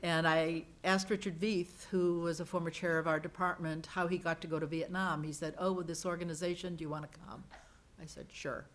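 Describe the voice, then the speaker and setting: Low-voiced middle-aged woman telling an anecdote. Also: little pitch movement, brisk. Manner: anecdotal storytelling. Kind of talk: panel discussion.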